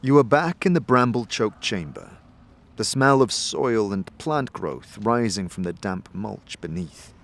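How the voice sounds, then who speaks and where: A man narrating a dramatic second-person story as a game master, in a measured, deliberate, atmospheric manner. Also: fairly low pitch, animated, vocal fry. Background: music.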